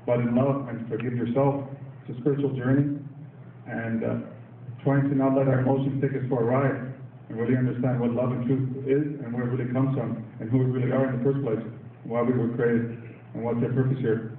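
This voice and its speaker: Man in the crowd rambling earnestly, pretty flat delivery, far from the mic, tinny echo. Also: medium pitch, a little fast, slight background noise. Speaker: audience member. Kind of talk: spontaneous reflective answer. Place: council chamber, echoey hall. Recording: distant echoey lo-fi hall recording.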